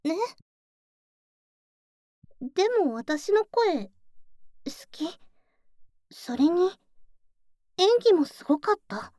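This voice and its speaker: Woman to play earnest young childhood friend, cafe waitress: girlish high voice, animated, bashful tsundere edge, intimate ASMR drama. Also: drawn-out words, pauses often, no echo, studio quality, full character voice. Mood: bashful, flustered. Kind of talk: Japanese ASMR situation voice drama acting, intimate one-sided conversation.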